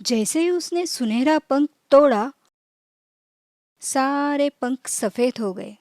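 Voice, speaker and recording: A woman narrating a scripted children's moral story as a storyteller, in measured, clearly phrased reading. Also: high voice, lively intonation, darkish tone, dry recording, studio quality.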